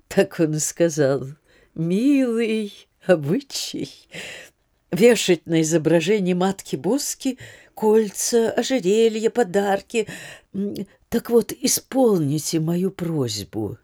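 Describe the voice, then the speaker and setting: Slow, husky landlady. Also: mid-range voice, very animated, mellow, no echo, clean studio sound. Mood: wistful, remembering. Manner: halting, rambling, repetitive storytelling. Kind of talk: Russian literary audio drama acting.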